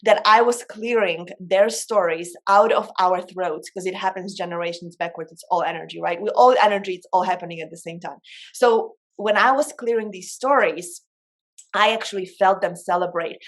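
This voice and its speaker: Female coach, mid-pitched voice, informal motivational delivery with emphatic, dramatized phrasing. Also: normal speed, fairly bright tone. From Poland. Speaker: coach and speaker. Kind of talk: informal motivational talk on spiritual self-development.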